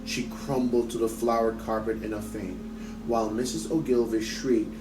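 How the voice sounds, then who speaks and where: A man reading a story aloud in an expressive audiobook style, as a teacher would for a book club. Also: lowish voice, moderate pace, recorded from a distance. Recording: roomy echo, off mic, music behind.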